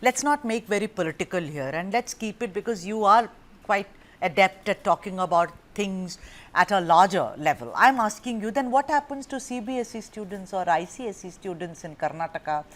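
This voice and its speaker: A woman's voice: mid-range voice, expressive, moderate pace. A woman news anchor moderating a TV debate, pressing her guests and talking over them.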